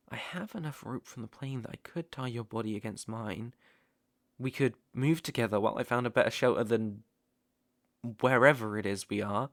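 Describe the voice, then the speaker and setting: A British man playing an obsessive, softly possessive stalker in an intimate ASMR roleplay, hushed and coaxing. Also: mid-range voice, no echo, clean studio sound, close to an everyday voice.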